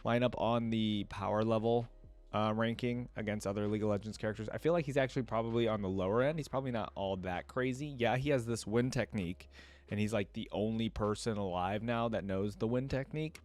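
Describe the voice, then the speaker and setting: A man giving casual gaming reaction commentary as a host, rambling and thinking out loud as he goes. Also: lowish voice, brisk.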